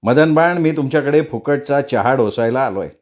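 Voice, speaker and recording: A man's voice: mid-range voice, normal speed, darkish tone, lightly acted. A man voicing a greedy, scheming, freeloading neighbour who wheedles and mutters asides in a comic story.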